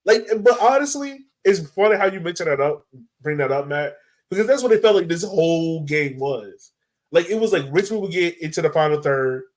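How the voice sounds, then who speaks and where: Guy hosting a sports podcast, higher voice, hyped and slangy, just chatting. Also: a little fast. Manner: excitable, slangy. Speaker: podcast host. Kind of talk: casual sports podcast chat.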